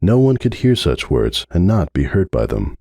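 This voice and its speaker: Low, mellow male story narrator. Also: very animated, moderate pace, breathy edge, dry recording, clean studio sound. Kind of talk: dramatic fan-fiction audiobook narration.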